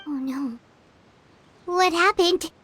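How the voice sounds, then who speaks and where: A woman voicing a small, curious, earnest fairy companion in a video game, with a squeaky childlike voice and an inquisitive, expressive manner. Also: slow, a little hiss or hum, full character voice. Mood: worried. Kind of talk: video game character dialogue.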